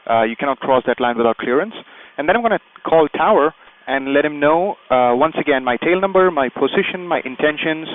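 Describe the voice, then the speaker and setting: A man's voice: on the high side, lively intonation, a little fast. Man explaining flying, in dull lo-fi audio. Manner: explanatory, step by step. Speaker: private pilot, video host. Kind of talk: instructional vlog commentary with radio calls from the cockpit. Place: small plane cockpit.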